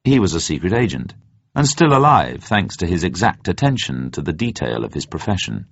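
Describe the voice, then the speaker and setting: A man reading a spy novel aloud as an audiobook narrator, in measured, deliberate phrasing. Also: sits low, sing-song, dry recording. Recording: dull, muffled, dry close recording.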